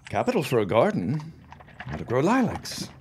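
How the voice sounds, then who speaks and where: An actor playing a genial, optimistic husband and small-town booster in a period audio drama, speaking in a declamatory way. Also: male voice, medium pitch, wildly swooping pitch, moderate pace, slight background noise. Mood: cheerful, expansive.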